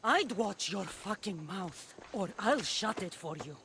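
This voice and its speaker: Scornful, menacing demigod warrior, animated delivery. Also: female voice, mid-range voice, a little slow, noisy background. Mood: furious. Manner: scornful, threatening, haughty. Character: menacing, contemptuous demigod warrior woman of a cult. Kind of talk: dramatic video game acting.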